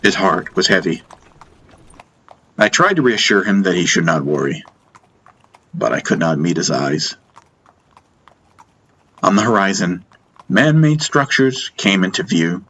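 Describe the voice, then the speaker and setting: A man narrating a first-person fantasy audiobook in deliberate, dramatic short phrases. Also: low-pitched, sing-song, bright, forceful tone, rough recording. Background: music.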